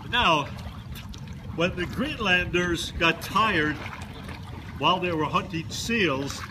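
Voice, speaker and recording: A man in his seventies calmly walks through each kayak roll, unhurried and a little playful. His voice sits slightly high for a man. Wind and lapping water are clearly heard behind him, and the sound comes from a camera some distance away on the water. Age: 70s. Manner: slow, explanatory, gently humorous. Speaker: kayak instructor demonstrating rolls. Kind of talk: casual demonstration narration. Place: outdoors in a kayak on open water.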